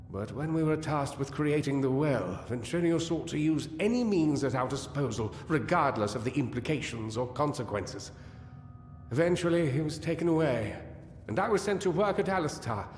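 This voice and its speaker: An actor playing a weary, guilt-ridden, paranoid gnome scholar in a fantasy video game, theatrical and anguished, halting as he muses. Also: a little high, expressive, a little hiss or hum, lightly acted. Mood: grave. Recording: echoey cavern-like reverb over music.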